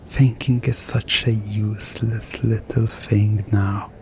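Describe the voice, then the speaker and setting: A man playing a mischievous, smugly commanding hypnotist in an ASMR roleplay, soothing and teasing with deliberate pauses. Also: on the low side, flat delivery, darkish tone, slight background noise.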